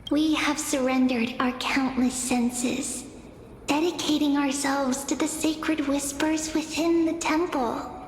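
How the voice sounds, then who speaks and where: Game casting: devout temple woman, solemn, high and slow, in reverb. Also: fairly even intonation. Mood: reverent. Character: solemn female temple devotee, reverent and ethereal. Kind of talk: scripted game voice acting. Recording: echoing temple reverb, faint noise.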